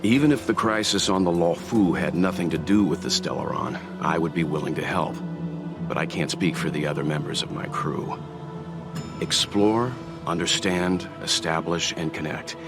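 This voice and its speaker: A wise middle-aged crew mentor from a video game , acted by a man, with a low, steady voice. He speaks in a thoughtful, earnest way, with clear music underneath. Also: moderate pace, natural voice. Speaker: voice actor in a game cutscene. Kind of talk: scripted game dialogue.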